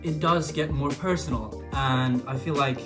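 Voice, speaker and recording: A man's voice: mid-range voice, unhurried. Hesitant Swedish-accented man over music. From Sweden. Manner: hesitant, many restarts and filler likes. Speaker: vlogger. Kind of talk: reflective casual monologue. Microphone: built-in camera mic after external mic died. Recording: talk over clear background music.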